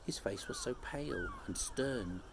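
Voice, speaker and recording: A man reading a children's story aloud like a teacher, in an expressive storytelling style. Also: medium pitch, unhurried, very noisy.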